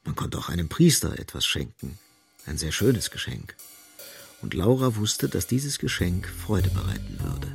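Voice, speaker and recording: An actor playing the storyteller of a literary radio drama, narrating deliberately and expressively. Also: male voice, low voice, very animated, creaky, full character voice. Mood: wry. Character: omniscient male storyteller of a literary novel. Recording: faint music behind the voice.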